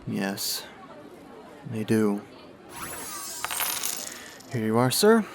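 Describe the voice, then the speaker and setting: A man's voice: on the low side, big pitch swings, extremely slow, gravelly, full character voice. A man playing an earnest, friendly young pony student in a fan radio drama, natural and conversational. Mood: wistful. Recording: noisy, busy crowd ambience.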